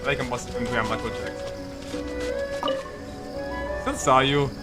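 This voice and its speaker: Halting man rambling over game music. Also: medium pitch. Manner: halting, repeats words, jokey. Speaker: game streamer. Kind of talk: casual rambling gameplay commentary.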